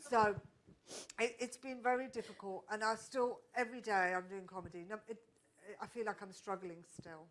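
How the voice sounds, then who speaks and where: A woman's voice: mid-range voice, fairly even intonation, moderate pace, rough recording. A woman comedian speaking on a live panel, candid and reflective, often restarting her sentences. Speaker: stand-up comedian, panel guest. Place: stage at a live podcast event.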